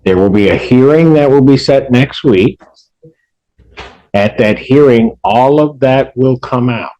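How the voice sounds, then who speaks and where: A man's voice: mid-range voice, measured pace, dark tone, dull audio. An American man speaking as a judge in a courtroom, stern and admonishing, explaining his decisions at length. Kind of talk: courtroom address from the bench.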